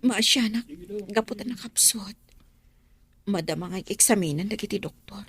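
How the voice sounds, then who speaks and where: A woman's voice: mid-range voice, normal speed, a bit bright, breathy edge, dry recording. A woman playing a long-suffering abandoned wife and mother in a radio drama, earnest and sorrowful. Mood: troubled. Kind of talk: Ilocano radio drama acting.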